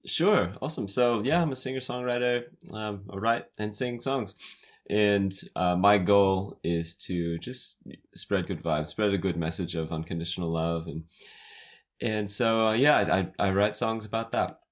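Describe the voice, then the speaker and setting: A man from California, with an American accent tinged with Australian, chatting as a guest singer-songwriter in a relaxed, hesitant way full of fillers. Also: on the low side, a little slow. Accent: American, slightly Australian. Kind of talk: casual interview chat. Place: his home recording studio.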